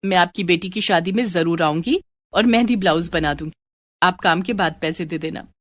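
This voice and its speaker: A woman's voice: fairly low pitch, moderate pace, fairly bright tone, no room sound, close to an everyday voice. Woman playing an earnest, eager young daughter. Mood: obliging. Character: earnest, dutiful young college-age daughter.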